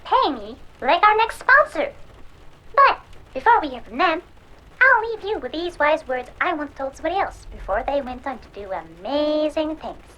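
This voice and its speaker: A woman's voice: sits high, big pitch swings, normal speed, sharp tone, lo-fi sound, full character voice. A woman playing a cocky, sardonic hacker who hosts a pirate radio show in a cyberpunk audio drama, talking theatrically to her audience. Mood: conspiratorial.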